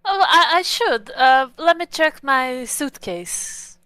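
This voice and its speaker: A woman's voice: sits high, animated, takes it slowly, bright, forceful tone, no room sound. A woman playing in a tabletop vampire role-playing game, casually narrating and speaking as her character.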